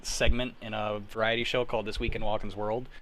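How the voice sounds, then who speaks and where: A man's voice: on the low side, flat delivery, a little fast, fairly bright tone, slight background noise, low-quality recording. Enthusiastic American man in casual chat. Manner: enthusiastic, profane, self-deprecating. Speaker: podcast co-host and sound designer.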